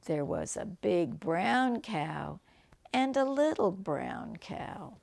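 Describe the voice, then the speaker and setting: An older woman reading a picture book aloud to children like a grandmother, phrasing things deliberately and chatting about the pictures. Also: lowish voice, very animated, vocal fry, darkish tone. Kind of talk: read-aloud storytelling with chatty asides and animal sounds.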